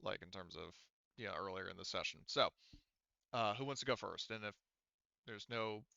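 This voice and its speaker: Man, game master, halting casual rules talk, smooth voice, dry slightly muffled recording. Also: on the low side, pushed and bright, no echo. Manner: halting, self-correcting.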